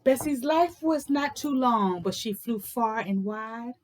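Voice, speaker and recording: A woman host reading aloud for children with expressive, emphatic phrasing. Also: a little high, takes it slowly, a little hiss or hum. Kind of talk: expressive picture book read-aloud in rhyming verse.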